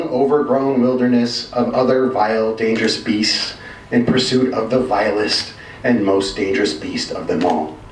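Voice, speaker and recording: A man with a medium-pitched voice performs a literary short story. His delivery is expressive, measured and wryly emphatic. The sound is roomy, with some faint noise behind him. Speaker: reader of a short story at a live reading. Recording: roomy, distant mic, faint background noise.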